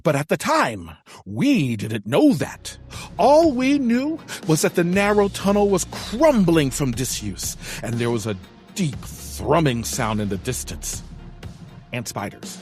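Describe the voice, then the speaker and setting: A man's voice: a little high, very animated, takes it slowly, darkish tone. A man playing a theatrical young adventurer in an audio drama, narrating a quest in florid, dramatic style. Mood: ominous. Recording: music behind the voice.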